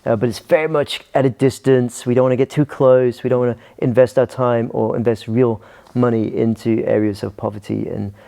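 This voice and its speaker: A Scottish pastor in middle age, talking as an interview guest. His voice is dark and gravelly, and he often hesitates and restarts his sentences. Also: medium pitch, fairly even intonation, fairly quick. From Scotland. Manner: hesitant, many ums and restarts. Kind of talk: casual interview talk.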